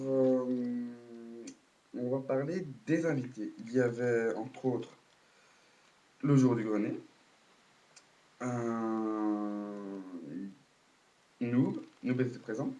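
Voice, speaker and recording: A man's voice: mid-range voice, warm tone. A man with a cold giving a casual, hesitant spoken recap full of fillers and restarts. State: has a cold, coughing. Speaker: video blogger.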